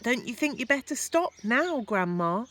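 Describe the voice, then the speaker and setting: A woman voicing an earnest young boy in a storybook, calling out loudly and insistently. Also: on the high side, very animated, moderate pace, slight background noise, pitched above the performer's own voice. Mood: insistent, protesting.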